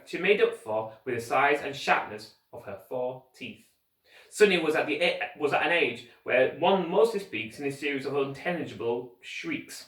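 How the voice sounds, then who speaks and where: A man reading a children's story aloud with expressive, emphatic narration and dramatic pauses, like a teacher reading to pupils. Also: medium pitch. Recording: echoey room, distant microphone.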